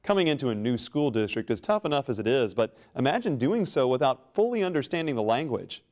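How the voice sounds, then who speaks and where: A man hosting a school news program, reading scripted introductions in a smooth, measured way. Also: mid-range voice, sing-song, a little mellow. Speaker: program host.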